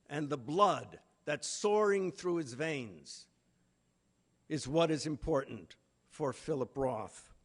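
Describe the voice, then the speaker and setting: A man giving a literary lecture in a deliberate, emphatic manner with pauses between phrases. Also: on the high side. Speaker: conference speaker, literary lecturer. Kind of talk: literary lecture with read-out quotations.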